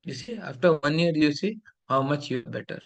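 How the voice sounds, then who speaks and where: A man's voice: fairly high pitch, animated, brisk, vocal fry. A middle-aged man from India with an Indian English accent, lecturing a fellowship class in a preachy, scolding way and mixing in Hindi.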